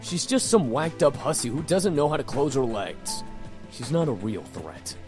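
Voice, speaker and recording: Male actor as brash young demon for visual novel: gruff, blunt, swinging pitch. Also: big pitch swings, moderate pace, over music, close to an everyday voice. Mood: scornful, angry.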